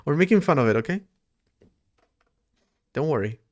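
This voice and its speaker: A man's voice: on the high side, animated, moderate pace, a little mellow. A man streaming live while he programs, thinking aloud in a loose, rambling way and answering his chat as he goes. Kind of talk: casual livestream chat while coding.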